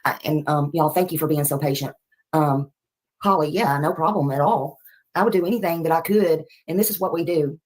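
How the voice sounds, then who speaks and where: A woman's voice: sits low, fairly quick, a little mellow, low-quality recording. A woman from Nashville with a Southern US accent, hosting a casual livestream in a folksy, rambling, polite way. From Nashville, United States. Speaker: livestream host.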